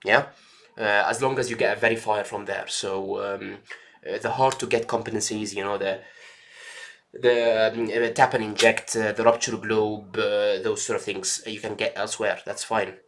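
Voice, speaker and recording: A man's voice: fairly low pitch, little pitch movement, normal speed, a bit bright. A man mentoring a trainee doctor in casual talk, reassuring, checking in often with "yeah" and "okay". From Egypt. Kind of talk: casual advice chat.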